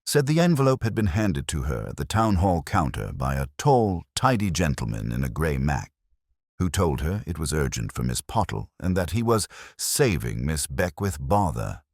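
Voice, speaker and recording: A man narrating a mystery story as a scripted reading, in a measured, dryly literary manner. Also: a bass voice, very animated, darkish tone, dry recording, studio quality.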